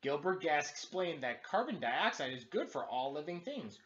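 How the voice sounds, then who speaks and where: A man in his thirties reading a children's storybook aloud as a teacher, with exaggerated, expressive emphasis, recorded indoors at home. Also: a little high, normal speed, muffled recording, background noise. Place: living room, indoors.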